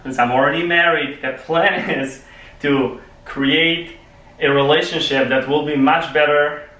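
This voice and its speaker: A young man with a Polish accent gives an earnest club speech, restarting phrases as he searches for the right words. His voice is bright and fairly high for a man. He sounds set back from the microphone in an echoey meeting room. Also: steady tone, unhurried. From Poland. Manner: earnest, restarts phrases, non-native grammar. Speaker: club speaker. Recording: echoey room, mic far off.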